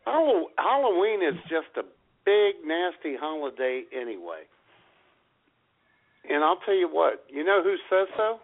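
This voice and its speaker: Lively, sardonic male radio co-host. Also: fairly high pitch, a little slow. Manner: sardonic, mock-folksy asides. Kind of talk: casual comedy banter. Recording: tinny phone-like sound.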